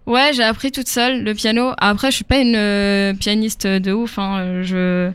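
A woman's voice: medium pitch, fairly even intonation, a little slow, clean tone, dry recording. A young woman singer from Africa, answering interview questions casually with many fillers and easy laughs.

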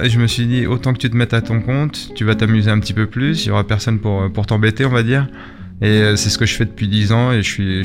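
A middle-aged man being interviewed in a radio studio, talking conversationally and often repeating words as he searches for his phrasing. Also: on the low side. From Spain and Belgium, lived in France. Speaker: interview guest, shop and gallery owner, artist. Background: guitar music playing behind the voice.

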